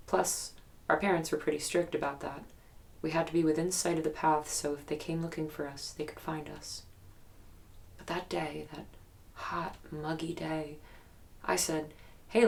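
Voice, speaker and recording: Casting: guarded young woman in investigative audio drama, very low register, fry-heavy, hesitant naturalistic storyteller carrying a haunted memory. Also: steady tone, a little mellow. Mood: uneasy, haunted. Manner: hesitant, reflective storytelling with pauses. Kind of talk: naturalistic documentary-style audio drama interview.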